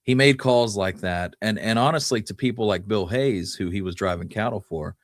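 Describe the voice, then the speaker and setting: Man, lower-pitched voice, casual storyteller, folksy, conversational, dry close recording. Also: moderate pace, no room sound. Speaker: podcast host telling history. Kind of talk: casual storytelling, Wild West history talk.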